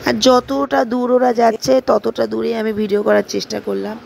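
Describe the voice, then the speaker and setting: A woman's voice: on the high side, steady tone, a little fast, gravelly, darkish tone. A woman narrating a casual family vlog, chatting and explaining things to viewers. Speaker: vlogger narrating her day. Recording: faint background noise.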